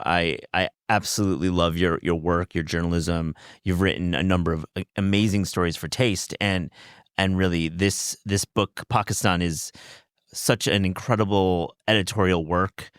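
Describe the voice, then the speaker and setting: A man's voice: deep voice, steady tone, normal speed, clean tone, no echo. A man hosting a podcast interview in a studio, talking effusively with frequent stumbles and repeated words. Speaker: podcast host. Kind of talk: casual interview chat. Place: recording studio.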